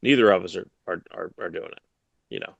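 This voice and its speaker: A man's voice: big pitch swings, fairly quick, gravelly, husky, dry recording, dull audio. A man in his thirties co-hosting a comics podcast from home on a headset, rambling casually with lots of restarts. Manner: rambling, many restarts, low-key. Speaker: podcast co-host. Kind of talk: casual chat about comics news. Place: home room, video call.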